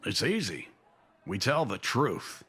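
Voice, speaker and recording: A man voicing an earnest, quietly determined older newspaperman in a comic dub, husky and measured. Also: fairly low pitch, sing-song, heavily acted.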